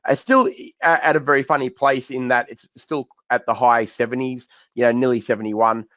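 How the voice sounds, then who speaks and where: A man with a croaky voice, hosting a live webinar and walking through charts in a chatty way, often repeating words and restarting his sentences. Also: medium pitch, fairly even intonation, normal speed, a bit bright, dry recording, highs cut off. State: croaky, recovering voice. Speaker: trading educator hosting a webinar Q&A.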